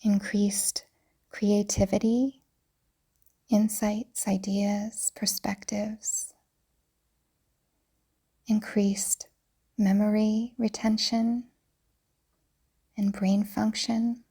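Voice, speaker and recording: A woman yoga teacher narrating a guided meditation in a soft, breathy, soothing way, deliberately, with pauses. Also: mid-range voice, little pitch movement. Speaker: yoga nidra teacher guiding a practice.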